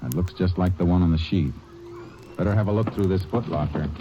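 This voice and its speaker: Man playing a terse deep-voiced ranger. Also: a little fast, natural voice. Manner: terse, clipped, no-nonsense. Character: tough, level-headed Texas Ranger. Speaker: lead ranger and narrator. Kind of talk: 1950s radio drama acting and narration. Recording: dull old radio recording with music.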